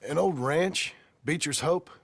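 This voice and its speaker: An actor playing a humble, gruff cowboy with a rural American accent in a western video game, husky and gravelly, hesitant, polite and plain-spoken. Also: male voice, fairly high pitch, measured pace, fairly bright tone, no echo, heavily acted. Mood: earnest. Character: humble gruff cowboy gone straight, seeking a loan.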